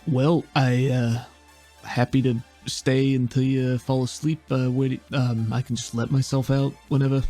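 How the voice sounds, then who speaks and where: A man's voice: medium pitch, flat delivery, unhurried, mellow, faint music behind, natural voice. A man voicing a tired, awkward young man who stammers bashfully and talks in a folksy way. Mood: flustered.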